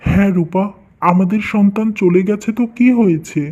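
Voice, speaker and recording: An actor voicing a troubled, dutiful young husband and son, earnest and weary, in an animated family melodrama. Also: mid-range voice, moderate pace, natural voice. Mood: consoling. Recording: dull, lo-fi muffled sound.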